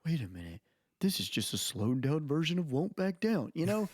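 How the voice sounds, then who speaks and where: A man's voice: fairly high pitch, normal speed, no room sound, clean studio sound. A man chatting casually as a podcast co-host, arguing his point in informal, slangy language. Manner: animated, argumentative, informal slangy talk.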